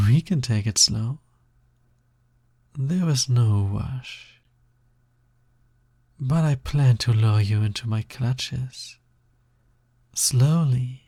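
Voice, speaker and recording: A man playing a sly, smug, possessive naga roommate in an intimate audio roleplay, with a breathy, gravelly voice and a teasing drawl that often drops toward a whisper. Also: mid-range voice, mellow, no room sound, heavily acted. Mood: possessive, coaxing. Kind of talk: intimate scripted audio roleplay, close ASMR-like delivery.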